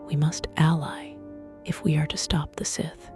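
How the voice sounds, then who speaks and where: A synthetic woman's voice playing a resolute, questioning exiled Jedi in a video game, breathy, quiet and flat. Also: deep voice, measured pace, faint music behind. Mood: questioning, insistent. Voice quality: breathy, whispery.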